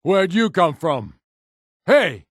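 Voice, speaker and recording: An actor playing a proud, commanding Nord rebel leader in a fantasy video game, with a grave, authoritative manner. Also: male voice, high-pitched, big pitch swings, takes it slowly, dry recording, studio quality, exaggerated voice. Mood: aggressive, shouting.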